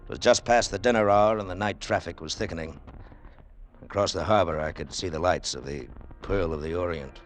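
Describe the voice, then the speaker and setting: Hardboiled lead for a male actor: low voice, cool, commanding, wry, unhurried, golden-age radio thriller narrator. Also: close to an everyday voice. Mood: wry, reflective. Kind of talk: 1940s-50s radio drama acting.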